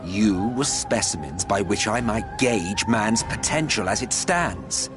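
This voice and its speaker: An actor playing an ancient, scornful immortal sorcerer in a video game, theatrical and condescending, with a drawn-out sardonic delivery. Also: male voice, on the low side, animated, music in the background. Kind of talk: dramatic video game voice acting.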